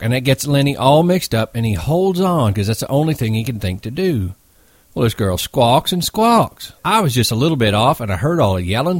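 A man voicing a gruff, protective ranch hand who talks in a blunt, folksy, emphatic way in a story. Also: mid-range voice, no echo, pitched above the performer's own voice.

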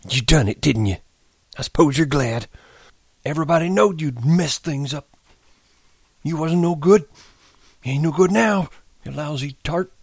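A man's voice: a little high, expressive, moderate pace, a little mellow, husky, no echo, studio quality, voice pushed up. A man voicing a grieving, bitter old ranch hand with rustic American speech, in an audiobook reading. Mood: vicious, bitter.

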